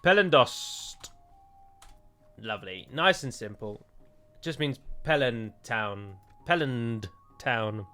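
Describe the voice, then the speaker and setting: A man's voice: mid-range voice, animated, takes it slowly, sharp tone, faint music behind. Chatty man, self-mocking gameplay commentary. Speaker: let's play host.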